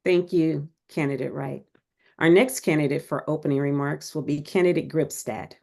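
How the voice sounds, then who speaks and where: A woman moderating a candidate forum, reading the rules and introductions in a measured, formal, scripted way. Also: sits low, steady tone, creaky, darkish tone.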